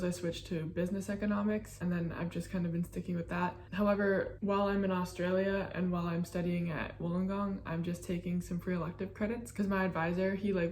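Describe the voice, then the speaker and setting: A woman's voice: lowish voice, darkish tone. Young american woman, casual vlog chat, roomy room, faint background hum. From upstate New York. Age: young adult. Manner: chatty, frequent fillers, self-teasing. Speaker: vlogger.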